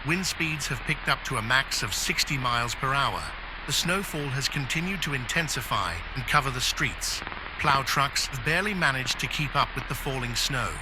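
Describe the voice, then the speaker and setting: A composed reporter played by a man reads scripted news in a middle-pitched voice. He is formal and measured, and clear noise runs behind the broadcast. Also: lightly acted. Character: composed male news reporter covering a storm.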